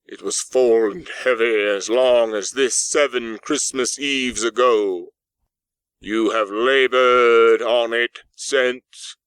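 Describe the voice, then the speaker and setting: A man's voice: mid-range voice, fairly even intonation, fairly bright tone, smooth, dry recording, low-quality recording. A man voicing a doomed, mournful spirit in chains, solemn and declamatory, drawing out the words of a storybook tale. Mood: grave, accusing. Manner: solemn, declamatory, drawn-out, woeful. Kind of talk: dramatised storybook narration.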